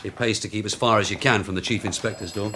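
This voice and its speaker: A man's voice: on the low side, fairly even intonation, fast talker, background noise, natural voice. An actor with a British accent playing a wry, streetwise male detective sergeant in a radio drama, with a dry, sardonic way of talking. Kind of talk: 1980s radio drama acting.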